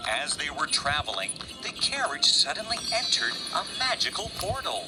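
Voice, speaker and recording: A man's voice: a little high, wildly swooping pitch, moderate pace, over loud music, poor audio. A man narrating a children's fairy tale as a scripted storyteller.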